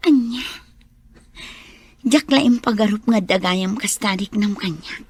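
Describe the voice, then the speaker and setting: A young woman playing a soft-spoken teacher who is wary of love in a radio drama. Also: mid-range voice, slow, slightly rough. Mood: guarded.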